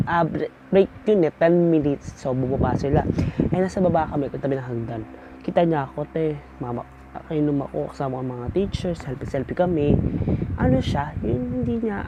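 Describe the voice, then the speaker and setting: A young Filipino man chatting casually in a storytime vlog, gossipy and dramatic in a campy way. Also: muffled recording. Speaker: vlogger. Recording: noisy homemade recording with loud rumble.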